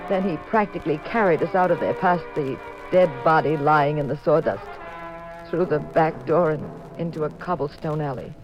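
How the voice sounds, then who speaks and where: An actress playing a detective's stubborn, excitable wife in a 1950s radio mystery drama. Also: female voice, lowish voice, close to an everyday voice. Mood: tense narration. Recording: muffled old radio recording, music behind.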